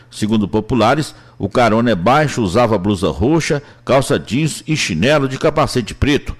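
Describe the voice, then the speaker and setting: A man with a Brazilian accent reading scripted police news reports as a reporter. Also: mid-range voice.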